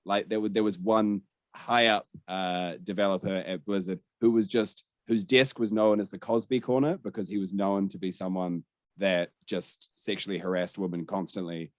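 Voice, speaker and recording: A man's voice: sits low, steady tone, moderate pace, no room sound. A man hosting a gaming podcast, talking through the news in a candid, sweary, conversational way. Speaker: podcast host.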